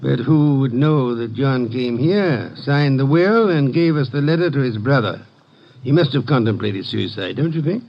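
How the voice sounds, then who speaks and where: An actor playing a fussy, pompous gentleman detective in a theatrical 1950s radio mystery. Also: medium pitch, moderate pace, vocal fry. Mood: courteous probing. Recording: muffled vintage radio recording.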